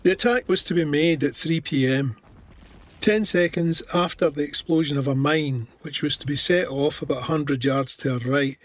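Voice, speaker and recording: A man's voice: on the high side, moderate pace, lightly acted. An actor playing a Scottish First World War corporal, telling his memories plainly in an audio drama. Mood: urgent recollection. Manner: plain-spoken, matter-of-fact storytelling. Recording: artillery and gunfire effects behind.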